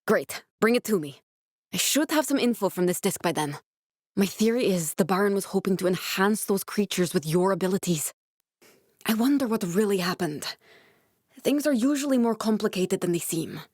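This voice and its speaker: An actress playing a guarded, driven scientist in a video game, speaking in a measured, clinical way. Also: female voice, medium pitch, expressive, darkish tone, dry recording, studio quality, close to an everyday voice. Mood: focused, serious. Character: guarded, driven woman scientist guiding a survivor, dry and earnest. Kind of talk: video game character voice acting.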